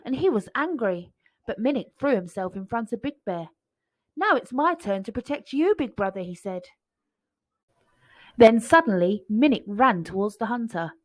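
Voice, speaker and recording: A woman storyteller reading a children's story aloud in an expressive way. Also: on the high side.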